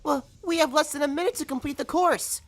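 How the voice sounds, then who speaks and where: A woman's voice: high-pitched, a little fast. A woman voicing a timid, obedient young boy sidekick in a comic dub, hesitant and deferential, prone to stammering. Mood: anxious. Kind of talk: comic dub cartoon acting.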